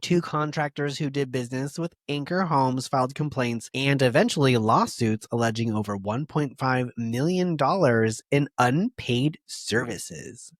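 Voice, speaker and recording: A man co-hosting a podcast, chatting casually and reading from his notes with easy laughs. His voice is mid-pitched and sits in a dry, dead room. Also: a little slow, hardly any pauses, dry recording. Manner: conversational, laughs easily, reads quotes aloud. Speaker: podcast co-host. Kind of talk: casual chat reading a story.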